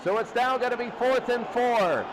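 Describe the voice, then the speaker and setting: A man's voice: very high-pitched, moderate pace. A man doing play-by-play American football commentary in short, exclamatory present-tense bursts. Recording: noisy recording with loud crowd din. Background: loud crowd noise.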